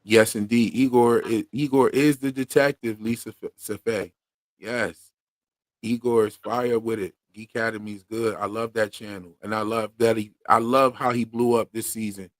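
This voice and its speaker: A man's voice: on the low side, fairly even intonation, normal speed, dry recording. A man from Pennsylvania with a Philadelphia accent, hosting a casual livestream chat in a slangy, laid-back way full of fillers. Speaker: podcast host.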